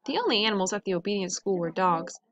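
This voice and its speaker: A woman's voice: mid-range voice, animated, fast talker. A woman reading a children's story aloud in a narrating style. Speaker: storybook reader.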